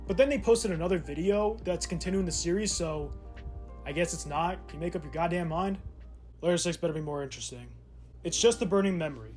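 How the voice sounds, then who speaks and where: Male video narrator, higher-pitched voice, irreverent and sarcastic, casual scripted delivery with loose asides, light music underneath. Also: darkish tone. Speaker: video essay host.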